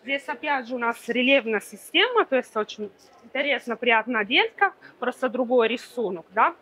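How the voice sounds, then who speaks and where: A woman's voice: fairly high pitch, animated, moderate pace, fairly bright tone, breathy edge. A woman in her thirties presenting furniture at a fair stand, explaining fluently with many small fillers. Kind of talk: product demonstration.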